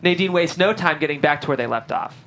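A woman's voice: low-pitched, animated, fast talker, a bit bright, slight background noise. A woman reading stage directions as scripted narration at a table read.

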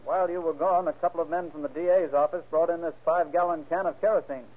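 An actor playing a respectful, precise police fingerprint technician in an old-time radio crime drama. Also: male voice, fairly high pitch, fairly even intonation, fast, close to an everyday voice. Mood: precise, deferential. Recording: narrow, tinny vintage radio recording.

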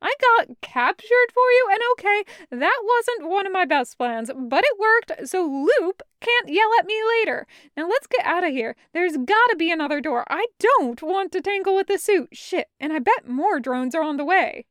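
A woman voicing a brash young man who swears and talks hysterically, loud and rushing. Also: fairly high pitch, expressive, clear, resonant tone, dry recording, clean studio sound. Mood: frantic.

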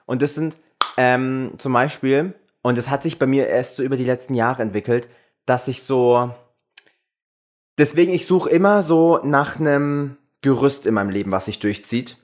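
A man's voice: mid-range voice, moderate pace. A young man from Germany chatting casually and candidly, crude and frank, mixing English slang into his German. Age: young adult. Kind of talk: casual, candid group chat.

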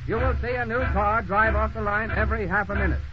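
A man's voice: high voice, fairly even intonation, fast, natural voice. A man playing a declamatory, solemn announcer glorifying American industry in a 1930s radio drama. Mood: grand, proud. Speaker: narrator and actor. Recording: muffled old radio recording, loud music.

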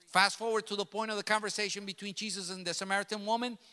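A man whose first language is Spanish, preaching with emphasis, circling back over his phrases as he builds. His voice sits very high and cuts through sharply. It rings out with a long tail of reverb, as in a big church hall. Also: flat delivery, fast, clean tone. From grew up in New York City. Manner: emphatic, repeats and restarts phrases, builds to loud passionate peaks. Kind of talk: sermon.

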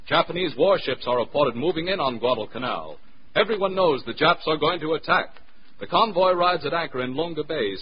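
A man with an American accent narrating as a radio announcer in a solemn, clipped, dramatic 1940s style. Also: fairly high pitch. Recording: muffled, noisy 1940s radio recording.

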